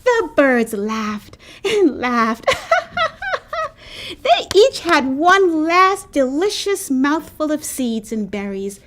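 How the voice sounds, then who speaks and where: A woman reading a children's picture book aloud with expressive, animated storytelling. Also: on the high side. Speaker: storybook reader.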